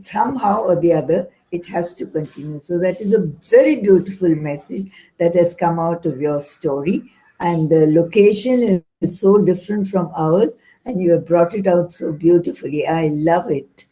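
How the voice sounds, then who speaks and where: A woman with an Indian accent, an audience member giving polite, deliberate, appreciative feedback. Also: on the low side, mellow, low-quality recording.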